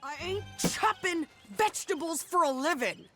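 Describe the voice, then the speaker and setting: A woman's voice: very high-pitched, animated, normal speed, music in the background. A woman playing a proud, indignant frontier woman in a video game, blunt, with an American accent. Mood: defiant. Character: proud, defiant frontier widow refusing kitchen chores. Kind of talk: dramatic video game character acting.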